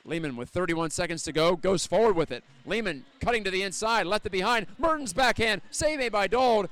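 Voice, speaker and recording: A man doing live audio play-by-play of an ice hockey game as the announcer, describing the action in a measured, detailed way that lifts with the play. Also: high voice, lively intonation, a bit bright, dry recording. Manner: measured, descriptive, lifting on scoring chances. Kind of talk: live ice hockey radio-style commentary.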